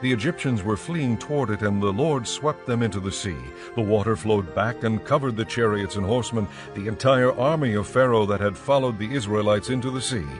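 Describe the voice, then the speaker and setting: A man narrates scripture as a dramatized audiobook. His voice is fairly low, and his delivery is formal and measured. Music runs clearly behind the reading.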